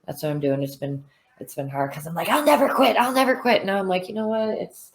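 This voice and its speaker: A woman's voice: mid-range voice, brisk. A woman in her thirties, a fighter, talking frankly in a casual interview, swearing freely and full of fillers. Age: 30s. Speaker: bare knuckle fighter.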